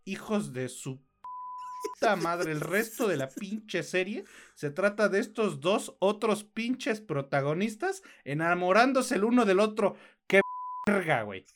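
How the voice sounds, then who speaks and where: A man's voice: high-pitched, sing-song, unhurried, fairly bright tone, slight background noise. Casual Mexican man reviewing shows. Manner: blunt, crude slang. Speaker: podcast co-host reviewing films and series.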